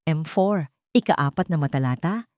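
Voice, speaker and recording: An anxious young woman, played by a woman narrator in an audio drama. She is polite and hesitant, with a pitch that swings widely. The recording is dry. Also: animated, moderate pace, darkish tone, dry recording. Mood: puzzled.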